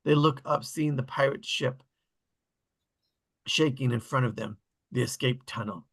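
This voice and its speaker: A man narrates screenplay stage directions at a table read. His voice is mid-pitched, bright and forceful, with a husky, gravelly creak to it. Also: a little slow.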